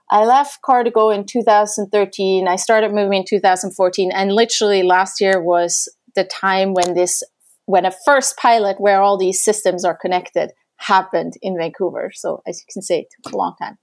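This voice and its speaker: A middle-aged woman from Switzerland telling her story in a chatty way on a casual panel conversation, laughing often. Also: medium pitch. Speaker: transport organisation founder.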